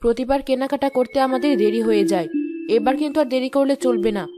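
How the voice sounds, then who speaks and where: A woman's voice: high voice, a little fast, over music, lightly acted. A woman playing a demanding, nagging village chairman's wife in a moral-story cartoon, with an insistent, complaining manner. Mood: annoyed, demanding.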